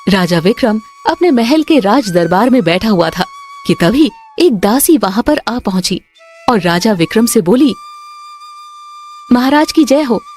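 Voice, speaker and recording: A woman's voice: medium pitch, expressive, normal speed, darkish tone. A woman narrating a scripted story in a dramatic style. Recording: music under the voice.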